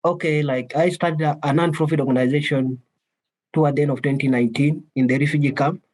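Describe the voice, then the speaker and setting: A man's voice: a little high, fairly even intonation, quick pace, a little mellow. Earnest man from South Sudan. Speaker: webinar participant asking questions. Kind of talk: earnest question on a video call. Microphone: video call.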